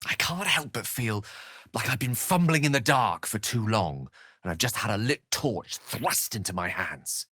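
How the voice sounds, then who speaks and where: Scholarly video game wizard, lowish pitch, very dry close recording, articulate, theatrical, wry. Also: male voice, fairly bright tone, dry recording. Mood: earnest, grave. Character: eloquent, bookish, wry human male wizard. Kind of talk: scripted video game character dialogue.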